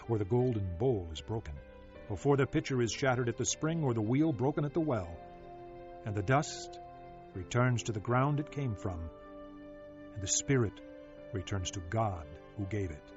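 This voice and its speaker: A man plays a solemn, reflective sage declaiming ancient scripture with measured expression. The recording is dull and lo-fi, with clear music playing behind the voice. His pitch sits in the middle of the male range, in the manner of a dramatized audio Bible. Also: lively intonation, a little mellow, natural voice.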